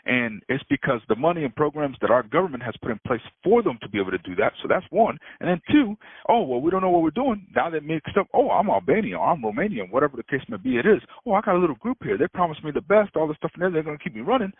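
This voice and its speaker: A fast-talking man ranting on a phone call, his slightly high voice swinging up and down as he curses and laughs. The sound is thin and phone-like, with a husky edge. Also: no room sound. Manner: animated, swears a lot, laughs often. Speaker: freight broker. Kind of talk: heated casual phone talk. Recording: tinny phone line.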